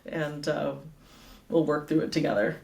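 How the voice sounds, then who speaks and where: A woman's voice: sits low, normal speed, creaky, a little mellow. A middle-aged woman chats as an interview guest, laughing often and filling her sentences with ums and likes. Her voice sits in a roomy, echoing space. She is heard at some distance from the mic. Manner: chatty, laughs often, many fillers. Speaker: guest, advocate and author. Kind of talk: casual interview conversation.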